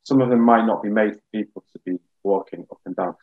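A man in his thirties explaining science informally and in a measured way, as a guest geologist on a webinar. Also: lowish voice, narrow, tinny audio. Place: small plain room indoors. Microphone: video call.

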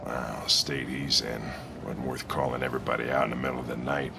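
An actor playing a gruff, bitter, hard-drinking older American police lieutenant in a video game, surly, sarcastic and foul-mouthed. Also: male voice, very deep voice, little pitch movement, a little fast, music in the background, exaggerated voice. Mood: irritated, sarcastic. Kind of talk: video game performance capture acting.

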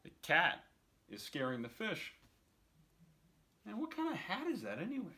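A man's voice: sits high, wildly swooping pitch, normal speed, pushed and bright. A man in his thirties reading a picture book aloud expressively for children, with casual asides. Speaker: story time reader. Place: indoors on a couch.

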